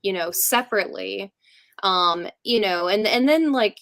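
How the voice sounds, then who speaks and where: A woman's voice: mid-range voice, measured pace, creaky, fairly bright tone, no room sound. A woman chatting casually and informally as a rock singer guest in an interview.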